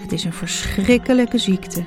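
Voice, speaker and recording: A woman's voice: medium pitch, moderate pace, over music, lightly acted. A woman voicing a mean, gloating husband in a children's storybook, in a dramatic, taunting way. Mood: gleeful menace. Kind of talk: children's storybook read-aloud.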